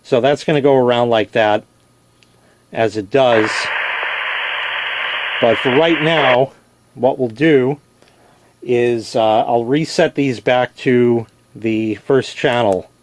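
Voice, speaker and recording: A man's voice: medium pitch, takes it slowly, pauses often, background noise. A man giving a casual, plain-spoken product demonstration, explaining as he goes and correcting himself. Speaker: product reviewer. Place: indoors in a basement.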